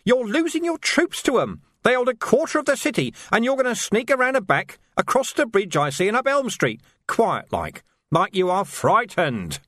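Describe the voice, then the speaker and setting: A man's voice: high-pitched, wildly swooping pitch, moderate pace, few pauses, fairly bright tone, no echo, studio quality, voice pushed up, exaggerated voice. A man voicing a sneering, menacing male villain who bursts into manic rage. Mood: ranting.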